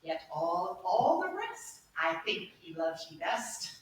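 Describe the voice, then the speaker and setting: A woman playing a teasing, cheeky waiting-maid in a theatrical Shakespearean staged reading, with dry, knowing, measured asides. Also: on the low side, big pitch swings. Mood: coy, knowing. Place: echoey room at a live staged reading. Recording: echoey room, distant mic, faint noise.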